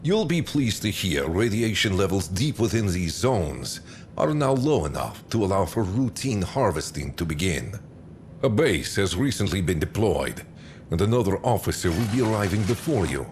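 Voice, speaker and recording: An actor playing a stern, commanding male field marshal who gives curt, measured orders in a game cutscene. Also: low voice, big pitch swings, a little mellow, a little hiss or hum. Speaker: military commander giving orders. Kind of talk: video game cutscene acting.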